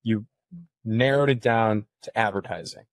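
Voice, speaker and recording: A man's voice: lowish voice, a little slow, no echo. A young man co-hosting a podcast, putting casual questions to a guest. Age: young adult. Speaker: podcast co-host. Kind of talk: casual interviewing. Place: small indoor room, on a couch. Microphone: handheld microphone.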